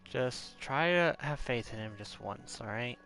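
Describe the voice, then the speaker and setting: Guy streaming a game, bright sharp voice, casual little reactions, music going behind him. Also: medium pitch, lively intonation, measured pace. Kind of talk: casual live gameplay reaction.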